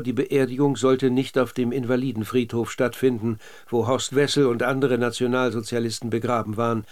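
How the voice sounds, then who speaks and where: A man narrating scripted documentary radio in a measured, factual manner. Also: mid-range voice, darkish tone.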